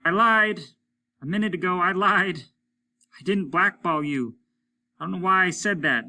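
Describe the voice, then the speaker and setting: A man's voice: high voice, moderate pace, sharp tone, pitched above the performer's own voice, full character voice. A man reading an audiobook, voicing a blunt, embittered, repentant aging male astronaut who speaks emphatically, as if confessing. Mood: ashamed, bitter. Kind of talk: dramatic audiobook character reading.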